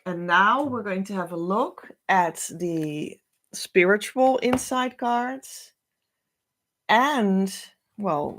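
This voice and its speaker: A middle-aged woman whose first language is Dutch, giving an oracle card reading as a spiritual coach, speaking to camera in a slow, musing way. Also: medium pitch, a little hiss or hum. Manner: slow, musing, drifts between soft and louder emphasis.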